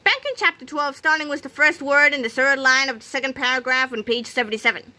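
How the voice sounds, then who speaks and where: A woman's voice: high voice, fast talker, pushed and bright, voice pushed up. A woman voicing a bossy, sly, confident schoolboy in a storybook reading. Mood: eager, confident. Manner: brash, teasing.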